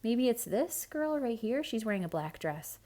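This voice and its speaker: A woman's voice: fairly high pitch, normal speed. Dramatic woman reading a children's storybook. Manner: dramatic, expressive, with hushed whispered asides to young listeners. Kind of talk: theatrical read-aloud storytelling for children.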